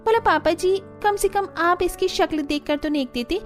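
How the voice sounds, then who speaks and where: A woman's voice: extremely high pitch, moderate pace, soft background music. A woman voicing a brash, insolent young modern daughter-in-law in a Hindi cartoon family drama, taunting and mixing in English words. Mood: scornful, defiant.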